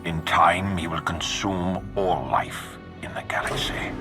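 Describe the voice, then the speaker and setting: An actor playing a stern, menacing Sith lord in a sci-fi game, speaking formally and ominously in measured phrases. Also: male voice, little pitch movement. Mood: grave, ominous. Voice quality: very deep, gravelly. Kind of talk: dramatic sci-fi game dialogue. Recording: music behind the voice.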